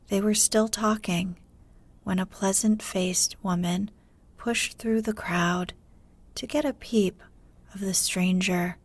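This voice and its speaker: A woman narrating a bedtime story in a soothing, measured way. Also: on the low side, breathy edge. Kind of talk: slow scripted storytelling for sleep.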